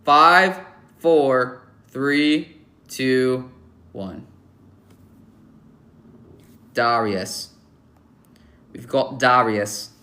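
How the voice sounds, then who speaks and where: A young man talking through a livestream mic with a bright, forceful voice. He gives blunt, offhand verdicts full of ums and restarts. He teases his chat in an easy, casual way. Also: mid-range voice, extremely slow, pauses often. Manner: joking, teasing the chat. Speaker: livestream host rating viewers' photos. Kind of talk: casual live-stream chat.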